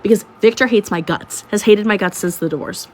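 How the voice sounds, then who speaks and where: A woman's voice: medium pitch, brisk. A young woman who is sick and a little congested, telling a messy personal story in a sarcastic, dramatic storytime vlog. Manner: animated, sarcastic, dramatic retelling.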